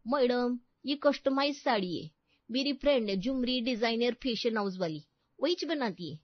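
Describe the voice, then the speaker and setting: Woman putting on a sassy, high-pitched housemaid. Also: lively intonation, moderate pace, no room sound, dull audio. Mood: boastful. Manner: cheeky, colloquial street-style Hindi with English words. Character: sassy, overconfident working-class housemaid woman, boastful.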